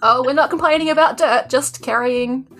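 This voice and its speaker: A woman's voice: sits high, normal speed, voice pushed up. A woman playing a wide-eyed, good-natured female adventurer in a tabletop roleplay game, wondering and playful. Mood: bemused.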